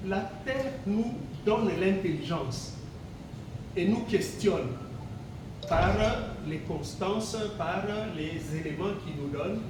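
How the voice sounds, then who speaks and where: Older man speaking in an echoey hall. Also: high voice. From Congo (DRC). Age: 60s. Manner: hesitant, many repeated words and fillers. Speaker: teacher giving a gala speech. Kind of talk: reflective gala speech. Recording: very echoey hall, distant mic, background noise.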